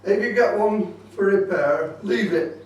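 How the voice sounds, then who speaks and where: A man's voice: high-pitched, unhurried, highs cut off. A man giving an informal hands-on club talk, explaining things and repeating his safety warnings insistently. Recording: very echoey room, distant microphone.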